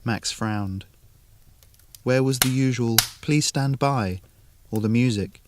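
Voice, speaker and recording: A man's voice: medium pitch, takes it slowly, background noise. A man narrating a scripted short story as the storyteller.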